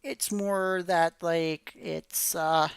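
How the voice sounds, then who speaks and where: A woman streaming a game tutorial in casual chat, her voice going hoarse after hours of talk, rambling and apologetic with many fillers. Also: fairly low pitch, fairly even intonation, drawn-out words, no echo. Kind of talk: casual live-stream commentary.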